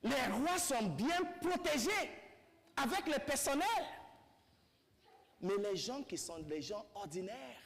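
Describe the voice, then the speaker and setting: High-pitched Nigerian priest preaching in reverberant church. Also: male voice, animated, slow, vocal fry, fairly bright tone. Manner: rhetorical questions to listeners, repeats phrases for emphasis. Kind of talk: homily to a congregation. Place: large reverberant church indoors.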